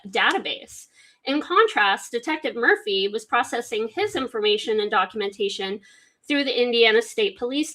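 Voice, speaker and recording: Casting: bright-toned female host, casual, reads legal text then riffs on it. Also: a little high. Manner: chatty, reads aloud then comments with swearing asides. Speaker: podcast host. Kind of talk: casual true-crime commentary, reading documents aloud.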